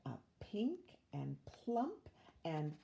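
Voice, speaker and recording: An older woman reading a rhyming children's story aloud in an expressive, measured way. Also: lowish voice, creaky, dull audio, slight background noise. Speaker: storybook reader, retired teacher.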